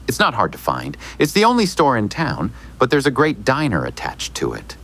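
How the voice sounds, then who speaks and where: Gravelly, evasive small-town lawyer. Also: male voice, medium pitch, wildly swooping pitch, a bit bright, full character voice. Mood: cryptic, insinuating. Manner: measured, theatrical, hinting. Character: smooth, evasive small-town male lawyer with insinuating politeness. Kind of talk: audio drama acting.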